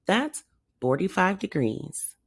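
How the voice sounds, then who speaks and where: A woman's voice: fairly low pitch, sing-song, slow pace, creaky, breathy edge, heavily acted. A woman voicing a bold, eager small female hamster who leads her team in a children's story. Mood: confident.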